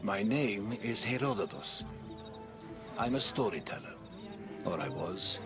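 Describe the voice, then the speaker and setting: A cryptic storyteller of middle age in a video game, speaking fairly low over music. He is deliberate and grave, as if he knows more than he says. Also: sing-song. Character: measured, cryptic middle-aged male Greek storyteller. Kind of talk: video game cutscene acting. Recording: music behind the voice.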